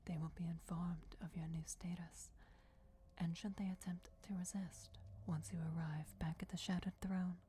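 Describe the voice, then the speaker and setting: A woman's voice: on the low side, little pitch movement, moderate pace, dark tone, a little hiss or hum, close to an everyday voice. A woman voicing a regal, commanding vampire goddess in a solemn whispered fantasy ASMR roleplay. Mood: commanding, reassuring. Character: ancient vampire goddess, commanding, softly menacing, bestowing destiny.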